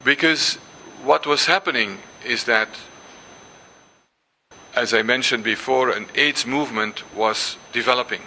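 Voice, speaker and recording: An older man whose first language is Dutch gives a symposium lecture, pausing often with ums and restarts and reading passages aloud. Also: mid-range voice, sharp tone, a little hiss or hum, low-quality recording. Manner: hesitant, many ums and restarts. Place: lecture hall.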